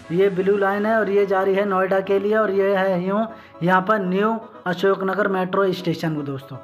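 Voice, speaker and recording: A man giving an informal, repetitive on-site video commentary, explaining things step by step to viewers he addresses as friends. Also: high voice. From northern India. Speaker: vlogger. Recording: music behind the voice. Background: background music.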